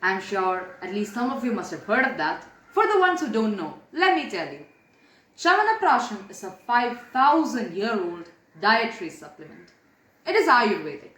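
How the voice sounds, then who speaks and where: A woman's voice: mid-range voice, sing-song, moderate pace, fairly bright tone. A young woman with an Indian accent narrates a moral tale, earnestly explaining and addressing her listeners directly. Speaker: storyteller. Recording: echoey room with faint background noise.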